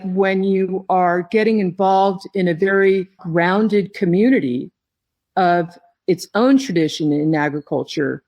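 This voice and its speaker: A woman giving a reflective interview as a guest, speaking in a halting, thoughtful way with phrases broken by pauses. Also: lowish voice, a little mellow. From not originally from Hawaii, long resident there. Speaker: podcast guest, tea grower and company head.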